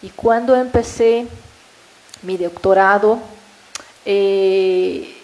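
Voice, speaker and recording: A woman's voice: mid-range voice, steady tone, extremely slow, a little mellow, low-quality recording. A woman from Brazil whose first language is Portuguese, speaking Spanish with a Brazilian accent in a hesitant academic talk full of fillers and tag questions. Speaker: invited speaker at a university panel.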